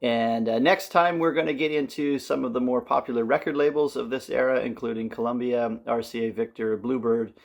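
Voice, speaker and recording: A man hosting a history video, explaining things informally in a somewhat halting way. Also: medium pitch, steady tone, gravelly, lo-fi sound. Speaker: host of a music history video series.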